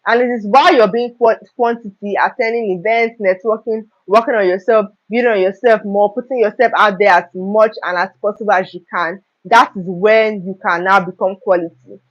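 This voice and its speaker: A young woman hosting a live stream, talking earnestly about self-improvement and often checking in with "okay?" and "right?". Her throat is slightly hoarse. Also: mid-range voice, steady tone, brisk, hardly any pauses. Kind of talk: motivational talk on a live stream. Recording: dull lo-fi live stream audio.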